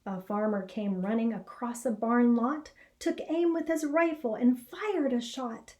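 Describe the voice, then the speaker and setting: A middle-aged woman reading a children's story aloud in an expressive, measured storytelling manner. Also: fairly high pitch. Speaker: storybook reader. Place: small indoor classroom or office.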